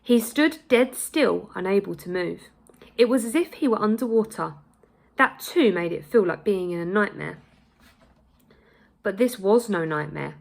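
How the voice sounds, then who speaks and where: A woman teacher reading a children's novel aloud with expressive, dramatic storytelling and shifting pace. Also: mid-range voice, creaky.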